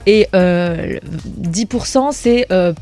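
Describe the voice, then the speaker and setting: A woman's voice: medium pitch, very slow, dark tone, faint music behind. A woman radio columnist presenting facts in an informative way, laughing at herself now and then.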